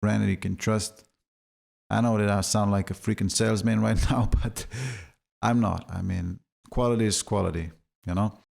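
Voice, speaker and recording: A man with a deep, boomy voice giving a relaxed, chatty gear review full of filler words. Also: normal speed, vocal fry. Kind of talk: casual product review.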